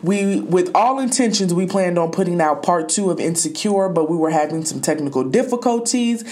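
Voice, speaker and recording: A young college woman co-hosting a student podcast, chatting casually and chiming in with quick agreement. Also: on the low side, expressive, darkish tone. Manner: chatty, affirming with short interjections. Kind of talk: casual chat.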